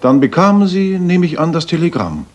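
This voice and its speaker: Radio play master detective: probing, self-assured, very varied pitch, husky edge. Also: male voice, very animated, a little mellow, highs cut off. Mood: matter-of-fact. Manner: probing, deliberate, precise. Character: shrewd, self-assured Victorian master detective summing up a case.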